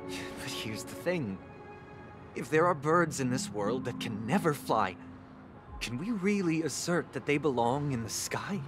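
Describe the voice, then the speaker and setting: An actor playing a solemn, cynical young man in a video game, with a measured, philosophical, persuasive manner. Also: mid-range voice, lively intonation, music in the background, natural voice. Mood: somber. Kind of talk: dramatic video game voice acting.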